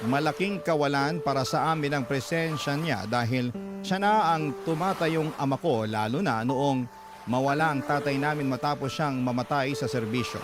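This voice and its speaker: Man narrating over clear music. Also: medium pitch, normal speed. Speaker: narrator of a listener's letter. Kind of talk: dramatic scripted narration.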